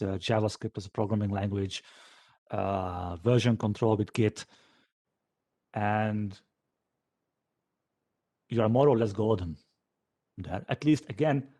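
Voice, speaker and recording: A man, a developer informally answering questions after a talk in a hesitant, halting way, as if thinking aloud. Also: lowish voice, dark tone. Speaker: software developer giving a conference talk.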